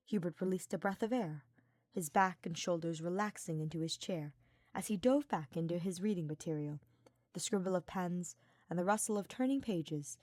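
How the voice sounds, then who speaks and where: A woman's voice: lowish voice, a little mellow, no room sound, clean studio sound. A woman reading a scripted story aloud as its narrator. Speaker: fan fiction podfic narrator.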